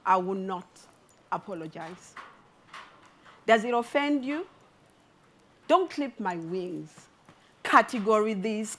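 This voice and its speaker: A middle-aged woman from Zimbabwe, an artist giving a talk with a spoken-word poem, delivered in a slow, rhythmic, measured way. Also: medium pitch. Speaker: artist and speaker.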